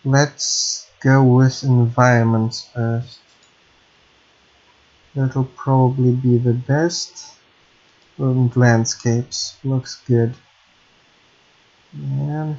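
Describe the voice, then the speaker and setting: A man's voice: medium pitch, little pitch movement, extremely slow, long pauses, darkish tone, dull audio. A man, thinking aloud while he records himself programming at his home computer, hesitant and self-correcting, often apologizing. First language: Russian. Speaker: hobby game programmer.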